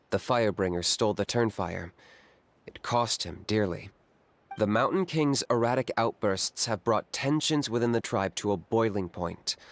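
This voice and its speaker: An actor playing a cool-headed young male hunter for hire in a video game, calm and matter-of-fact. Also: on the low side, no room sound, close to an everyday voice. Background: game music.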